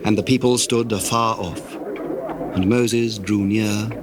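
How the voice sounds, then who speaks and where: A man's voice: on the low side, steady tone, slow pace, background noise. A man narrating dramatized scripture in a formal, dramatic storytelling manner. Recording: old cassette with loud score and effects.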